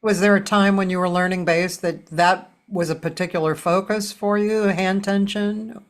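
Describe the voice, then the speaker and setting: A woman's voice: medium pitch, steady tone, normal speed. An older woman with an American accent, hosting a casual conversational interview. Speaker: interview host.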